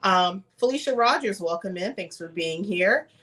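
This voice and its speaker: A woman's voice: medium pitch, lively intonation, normal speed, a bit bright. A woman hosting a casual livestream chat who sounds congested and nasal from a cold, greeting viewers with frequent "um"s. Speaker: host and travel advisor.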